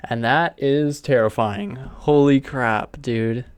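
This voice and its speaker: Casual man, mid-pitched, faint noise. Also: slow pace, clean tone, no room sound. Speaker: reaction video host. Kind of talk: casual reaction commentary.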